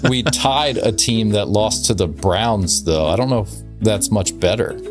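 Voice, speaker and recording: A man's voice: lowish voice, a little slow, music in the background. A man co-hosting a podcast in casual, joking, conversational banter. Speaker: podcast co-host.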